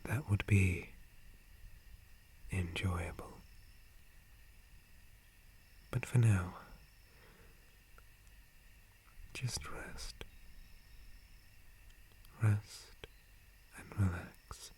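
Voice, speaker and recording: A man playing a gentle, calm, friendly dryad forest guardian in a breathy ASMR whisper, with a soothing manner. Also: sits low. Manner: slow, soothing, unhurried. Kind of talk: ASMR roleplay.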